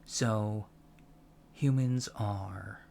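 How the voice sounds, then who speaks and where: A soft-spoken man in an ASMR roleplay as a professor, explaining things haltingly with pedantic asides. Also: lowish voice, steady tone, gravelly, slight background noise. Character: patient alien professor lecturing on humans, fascinated by them. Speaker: ASMR roleplay performer playing an alien college lecturer.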